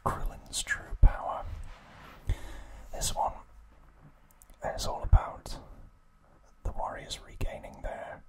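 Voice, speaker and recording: Slow, whispering male ASMR narrator. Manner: slow, hushed, unhurried trivia recap delivery. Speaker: ASMR video host.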